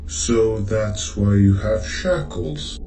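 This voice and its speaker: Male actor as wary male companion: lowish voice, animated inflection, inquisitive, echoey audio drama. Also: takes it slowly, far from the mic. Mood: wary curiosity. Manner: questioning, plain-spoken. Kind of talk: science fiction audio drama acting. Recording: echoey, with music behind.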